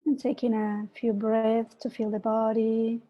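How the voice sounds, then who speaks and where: A woman from Peru, a mindfulness teacher, giving an online talk and gently guiding a meditation over a video call. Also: a little high, little pitch movement, muffled recording. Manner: slow, soft guiding voice with gentle invitations. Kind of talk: online presentation and guided meditation.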